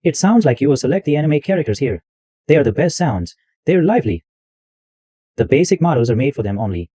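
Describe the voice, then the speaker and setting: A man talks through a software setup step by step, often repeating a phrase as he goes. His voice sits a bit high and swings around a lot, with a mellow tone. The recording is dry and a little dull and lo-fi. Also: very animated, fairly quick, breathy edge, no echo. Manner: explains step by step, repeats himself. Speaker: tech tutorial host. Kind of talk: screen-recorded software walkthrough.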